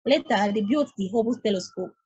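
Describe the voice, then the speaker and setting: A weary, philosophical space probe, read by a young woman musing calmly. Her voice is husky and breathy at a middle pitch. It comes over a video call in an amateur table read. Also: a little fast, muffled recording. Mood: reflective. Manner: calm, reflective monologue.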